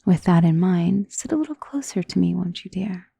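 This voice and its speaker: Casting: woman to play elegant female vampire for ASMR roleplay. Mellow, breathy, coaxing and possessive, often whispering close to the mic. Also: on the low side, animated, normal speed, dry recording, heavily acted. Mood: intimate, inviting. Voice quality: breathy, soft. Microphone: close studio mic.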